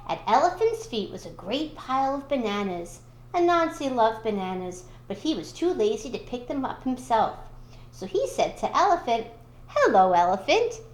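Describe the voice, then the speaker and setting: A woman reading a children's storybook aloud in expressive narration. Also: a little high, normal speed, echoey room, slight background noise. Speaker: school storybook reader.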